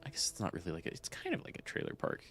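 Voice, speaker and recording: A man's voice: sits low, wildly swooping pitch, very fast, a bit bright, soft background music. A man with a stuffy nose giving casual, chatty commentary while playing a game, swearing and incredulous.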